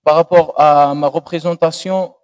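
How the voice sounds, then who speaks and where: A man's voice: on the high side, fairly even intonation, darkish tone. Man defending his thesis, reverberant hall. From Algeria. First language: Algerian Arabic. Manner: measured, explanatory academic tone. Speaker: doctoral candidate. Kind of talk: answering a thesis jury. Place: university lecture hall.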